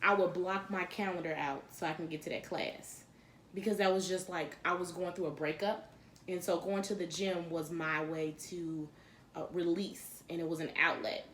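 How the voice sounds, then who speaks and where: A woman from Chicago co-hosting a podcast, telling stories in casual chat and acting out the voices of the people she quotes. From Chicago, south side. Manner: animated, acts out quoted voices with big pitch jumps. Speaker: podcast co-host. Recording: roomy echo with faint background noise.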